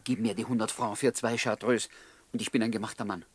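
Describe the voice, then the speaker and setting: A man's voice: lowish voice, steady tone, a little fast, slightly rough. An actor playing a cheerful, penniless con man in a 1960s radio comedy, with a playful, theatrical, mock-courteous manner. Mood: cheeky, upbeat. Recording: faintly noisy 1960s radio recording.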